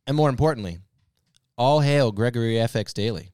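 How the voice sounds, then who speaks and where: A man's voice: mid-range voice, brisk, a little mellow, no echo, studio quality. A man co-hosting a podcast in casual panel banter, with an informal delivery. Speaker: podcast co-host.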